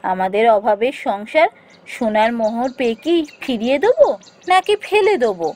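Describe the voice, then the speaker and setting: A woman acting a high-voiced village wife. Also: very animated, normal speed, a little mellow. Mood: insistent. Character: poor village wife, tender and practical. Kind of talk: Bengali fairy-tale cartoon dialogue.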